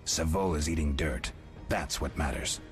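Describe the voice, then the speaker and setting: An actor playing a stoic, world-weary monster hunter in a video game, speaking in a terse, low growl. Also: male voice, moderate pace, soft background music. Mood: calm, dry. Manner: terse, flat, understated.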